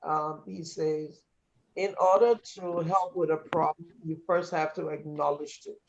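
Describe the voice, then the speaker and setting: A man's voice: a little high, fairly even intonation, a little slow, vocal fry. A man from Liberia with a North American accent, speaking earnestly and emphatically as a panelist in a discussion. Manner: earnest, emphatic, some restarts. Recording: dull lo-fi sound.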